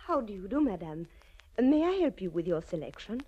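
An actress playing a genteel, anxious dress-shop madame in a 1940s radio drama, formal and refined. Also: female voice, medium pitch, big pitch swings, brisk, warm tone, breathy edge, soft background music. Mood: gracious. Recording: muffled 1940s radio broadcast recording.

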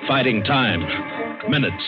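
An actor playing a hard-boiled, cynical adventurer who narrates an old radio drama, terse and bitter. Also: male voice, medium pitch, wildly swooping pitch, a little slow, gravelly, heavily acted. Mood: tense, suspenseful. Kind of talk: 1940s-50s radio drama narration and acting. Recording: dull old radio broadcast with music.

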